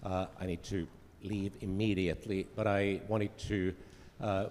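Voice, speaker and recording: A man from Finland giving formal welcome remarks as a diplomat at a conference, pausing often with filler sounds and restarting phrases. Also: deep voice, little pitch movement, a little mellow, long reverb tail. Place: conference hall.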